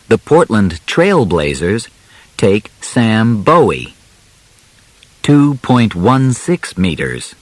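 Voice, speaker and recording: Male narrator for a simplified audiobook: very unhurried, clearly articulated phrasing, lively intonation, dry close sound. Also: fairly low pitch, no echo. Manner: deliberate, carefully enunciated, short phrases with pauses.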